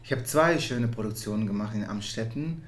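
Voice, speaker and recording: A man from the Netherlands who speaks German as a second language with a Dutch accent, chatting in an interview as a musical performer, animated and full of restarts and fillers. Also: lowish voice, a little fast. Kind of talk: casual interview chat.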